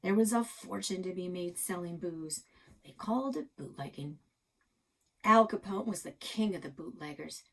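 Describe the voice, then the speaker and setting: A woman reading a children's storybook, voicing a gruff, grumpy old grandfather with a folksy, plainspoken manner. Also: on the low side, animated, normal speed, a bit bright. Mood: storytelling, folksy. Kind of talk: children's storybook read-aloud.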